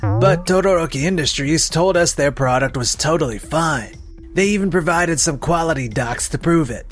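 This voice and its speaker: A man acts out an evasive salesman in a manga-style drama dub. He speaks defensively at a middling pitch, explaining himself and shifting blame. Music plays behind him. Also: animated, moderate pace, natural voice. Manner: defensive, excuse-making. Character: evasive young salesman making excuses to his boss. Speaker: sales representative character. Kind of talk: scripted manga dub voice acting.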